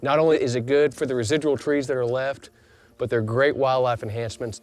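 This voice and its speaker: A man's voice: mid-range voice, steady tone, fairly quick, darkish tone. Male wildlife biologist on a forest walk, eager explainer, plain mid-range voice, faint music underneath. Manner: enthusiastic, explanatory. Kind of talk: explaining outdoors on a forest tour. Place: outdoors in a pine forest.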